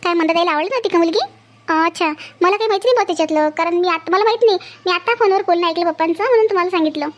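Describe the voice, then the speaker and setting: A woman acting as a young cartoon sister-in-law speaks extremely high and fast, with a Vidarbha Marathi accent. She sounds chatty, earnest and deferential to her in-laws. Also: dull audio, slight background noise. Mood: reassuring. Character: gentle young sister-in-law, teasing but easily wronged. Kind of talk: amateur cartoon family drama.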